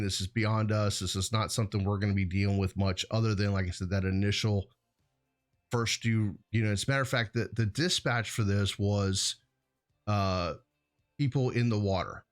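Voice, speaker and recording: Low-voiced American man, informal talk show host. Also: fairly even intonation, moderate pace, faint music behind. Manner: informal, rambling, peppered with "you know". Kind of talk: casual conversational discussion.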